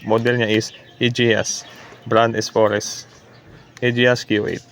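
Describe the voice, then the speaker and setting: A man's voice: fairly low pitch, level tone, measured pace, a bit bright, a little hiss or hum. A man giving a casual repair demonstration, explaining step by step and mixing in English terms. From Philippines. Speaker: repair technician demonstrating his work. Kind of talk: casual repair demo vlog.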